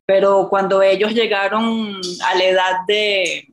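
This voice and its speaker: Halting French-native woman, searching words. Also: mid-range voice, little pitch movement, rough recording. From France. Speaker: group participant. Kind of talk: casual personal sharing.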